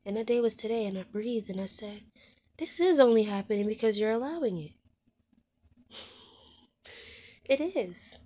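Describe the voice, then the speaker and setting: A woman in her twenties speaking candidly to her viewers, frank and heartfelt, often dropping to a soft hushed voice and swearing bluntly. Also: a little high, expressive, measured pace, long pauses. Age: 20s. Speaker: vlogger. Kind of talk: candid personal monologue to viewers.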